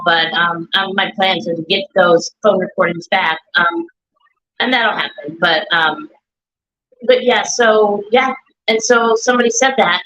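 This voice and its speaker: A woman speaking loudly and at length on a live stream from an echoey room, repeating her points as she talks. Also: medium pitch. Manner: loud, talkative, repeats herself. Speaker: guest, bereaved mother.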